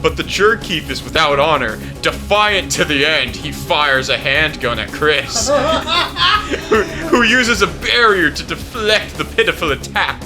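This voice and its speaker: A man's voice: on the high side, big pitch swings, measured pace, over music. A young adult man doing casual host commentary and reading a summary aloud, sweary and amused. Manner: sweary, amused, often breaking into laughter. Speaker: video host.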